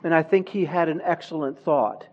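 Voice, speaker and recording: A man who grew up in Honduras, preaching a sermon in a church with slow, emphatic delivery that swells louder on key words. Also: a little high, lo-fi sound.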